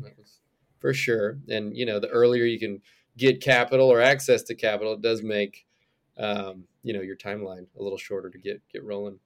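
Animated young man, casual talk. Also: lowish voice. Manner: animated, laughing, acts out quoted reactions. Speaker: guest, former singer turned realtor. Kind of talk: casual interview conversation.

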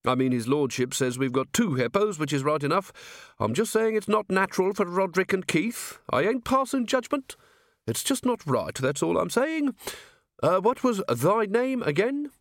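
High, cackling old yard keeper. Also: male voice, very animated, moderate pace, no echo, clean studio sound. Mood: grumbling. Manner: folksy, rambling, archaic dialect. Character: cackling old rustic male yard keeper, chatty, grumbling.

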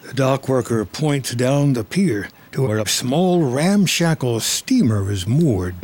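A man's voice: mid-range voice, animated, slow, a little mellow, slightly rough. A man giving scripted, present-tense narration of a film story as its narrator.

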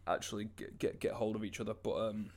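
A man's voice: fairly low pitch, little pitch movement, fast, creaky, darkish tone. A man, co-hosting a podcast in casual, slangy, sweary talk. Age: 20s. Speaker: podcast co-host. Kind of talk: casual chat and storytelling.

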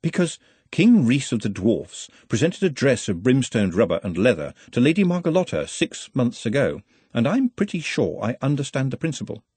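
A man doing a calm, formal, quietly unsettling little stranger. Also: mid-range voice, normal speed, husky, dry recording. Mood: calm polite. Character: small, polite, calm, unsettling young male.

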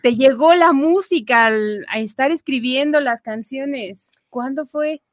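Female co-host, high voice, dry close sound, keen reactions. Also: no room sound. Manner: enthusiastic, quick reactions. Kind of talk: live interview.

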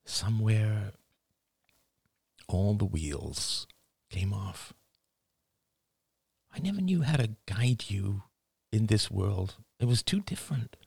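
A man voicing a grieving elderly father in an audiobook, gentle and halting, close to tears. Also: lowish voice, sing-song, gravelly, slightly rough, dry recording, pitched above the performer's own voice, full character voice. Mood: sorrowful, tender. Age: older. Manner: halting, sighing, breaking into sad laughter. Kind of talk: dramatic audiobook dialogue.

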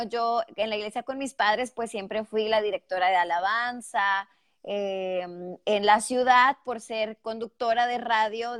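A woman with a pronounced northern Mexican accent, speaking fairly high and lively as she tells her story. The sound is dry and close, with little room around it, coming through a headset on a video call. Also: dry recording. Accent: northern Mexican Spanish. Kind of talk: casual interview over a video call. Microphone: headphones with mic on a call.

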